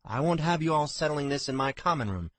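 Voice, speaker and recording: A man's voice: a little high, animated, a little fast, a bit bright, no room sound, pitched above the performer's own voice. A man voicing a stern female innkeeper who scolds in a bossy, commanding way. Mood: scolding.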